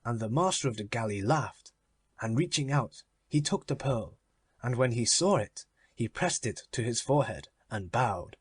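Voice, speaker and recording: A man reading a literary children's story as a measured audiobook narration. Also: medium pitch, expressive, husky, dry recording.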